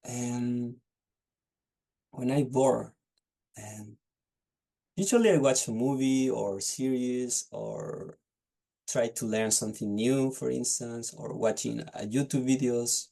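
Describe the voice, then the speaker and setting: Young Chilean-accented man, unhurried and hesitant, gravelly low voice, video call. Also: dark tone. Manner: hesitant, restarts phrases while searching for words. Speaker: test candidate. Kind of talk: unscripted speaking-test answers.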